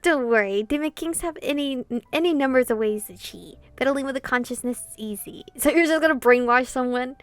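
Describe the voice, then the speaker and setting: A woman's voice: high voice, fairly quick, few pauses, smooth, no room sound. A woman reading a visual novel aloud expressively and commenting casually as she plays. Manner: animated reading, reacting informally to the story.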